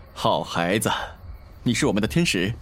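A man's voice: on the low side, big pitch swings, takes it slowly, breathy edge, heavily acted. Male survivor played by an actor, protective, teasing, very varied intonation, audio drama. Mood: easygoing. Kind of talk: Chinese fan audio drama acting.

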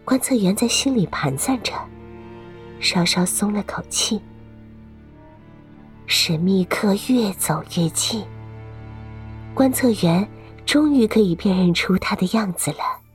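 A woman's voice: medium pitch, animated, slow, pauses often, soft background music. A woman reading scripted story narration for an audio drama.

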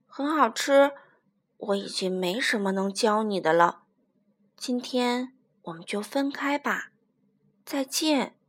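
A woman voicing a big, gruff but soft-hearted male tyrannosaurus in a storybook, stammering and blustering as a reluctant father. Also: a little high, animated, takes it slowly, pauses often. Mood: firm.

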